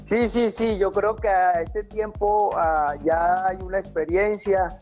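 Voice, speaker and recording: A man's voice: high-pitched, steady tone, slow pace, a little mellow, soft background music. A forty-year-old man from Colombia with a Colombian accent, giving casual answers as an interview guest. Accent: Colombian Spanish. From Santander, Colombia.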